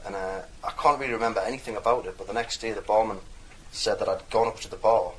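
Young man, mild Geordie accent, very low voice, rambling anecdotal delivery, faint background hum. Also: steady tone, fast, fairly bright tone, rough recording. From North East England. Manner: loose, rambling storyteller. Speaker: dialect archive speaker. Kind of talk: casual recorded anecdote.

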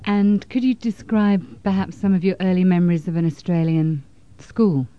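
A woman conducting an interview, asking polite, measured questions. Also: lowish voice, steady tone, smooth, muffled recording.

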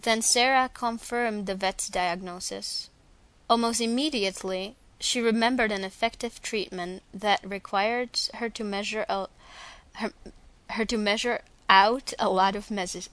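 A woman's voice: medium pitch, a bit bright, no echo. A young woman from Slovakia with a Slovak accent, speaking English as a second language; she reads a passage carefully, then talks with some hesitation. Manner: careful, slightly hesitant with fillers and restarts. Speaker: dialect archive speaker. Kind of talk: reading a set passage, then casual self-introduction.

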